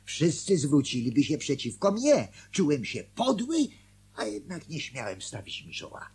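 A husky, anxious husband. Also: male voice, fairly high pitch, huge pitch swings, normal speed, a little mellow, exaggerated voice. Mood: guilty, defensive. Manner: hesitant, agitated, conversational. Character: anxious, guilt-ridden small-town husband confiding in his wife. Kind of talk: Polish radio play acting.

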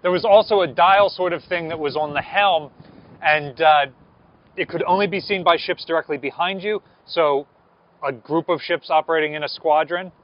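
A man's voice: fairly high pitch, no echo, muffled recording. An American man in his thirties giving an informal explanatory talk to camera as a museum presenter, outdoors on a lapel mic. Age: 30s. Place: outdoors on a ship's deck. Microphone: clip-on lapel mic.

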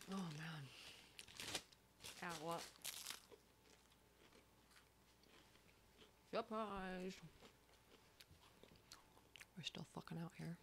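A woman playing a foul-mouthed, sardonic apocalypse survivor in an ASMR horror roleplay, breathy, dry and often whispering. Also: lowish voice, background noise, exaggerated voice. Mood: mocking. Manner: sweary, dry, unhurried.

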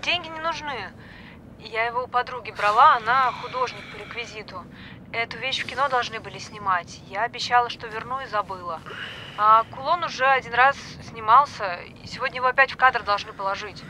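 An actress playing a chatty, apologetic young doctor who moonlights as a stripper, hesitant and informal in a naturalistic audio drama. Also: female voice, a little high, fairly quick, sharp tone, slight background noise, low-quality recording. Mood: pleading, anxious.

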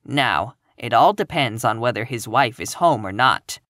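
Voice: mid-range voice, lively intonation, measured pace, fairly bright tone, no echo, studio quality. A narrator voicing a frank, gossipy teenage country girl in a storybook, matter-of-fact and confiding.

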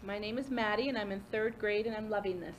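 A woman's voice: mid-range voice, quick pace, a little hiss or hum, rough recording. A woman off camera reading out viewer comments and jokes in a plain, matter-of-fact way during a livestream.

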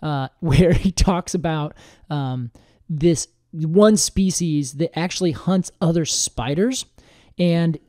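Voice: mid-range voice, takes it slowly, dark tone, dry recording, clean studio sound. A podcast host chatting casually and explaining things in an informal, enthusiastic way.

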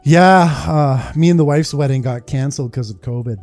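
A man's voice: medium pitch, moderate pace, darkish tone. A man in his thirties giving informal, rambling commentary on a game playthrough. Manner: informal, rambling, mild swearing. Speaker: gaming streamer. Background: game music.

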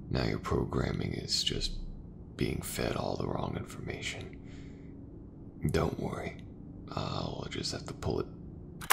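Man voicing a deep, gravelly, gentle mech mechanic. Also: background noise, heavily acted. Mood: calm, focused. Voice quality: very low, soft, breathy murmur, often near whisper. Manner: unhurried, reassuring, folksy. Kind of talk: ASMR roleplay.

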